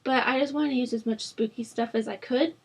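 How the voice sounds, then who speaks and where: A young woman host rambling casually over a game build, her voice rough from a sore throat, with lots of fillers. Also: on the high side, fairly even intonation, brisk. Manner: rambling, chatty, with frequent fillers. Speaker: Sims builder channel host. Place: at home.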